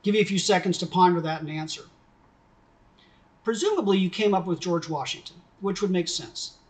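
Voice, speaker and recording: A middle-aged man delivers a sermon from his home study, speaking into a desk webcam. He teaches in a conversational way and poses questions to his listeners. His voice sits a little higher than most men's. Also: brisk. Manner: conversational teaching, asks questions, gestures with hands. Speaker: pastor. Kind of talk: sermon recorded at home for video.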